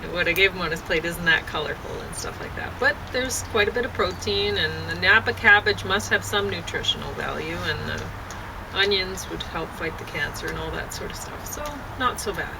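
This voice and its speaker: A woman chatting casually and haltingly, thinking aloud as she goes and often breaking off to rephrase. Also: vocal fry. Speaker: vlogger and amateur poet. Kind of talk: casual rambling chat to camera. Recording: noisy, distant mic, traffic-like rumble.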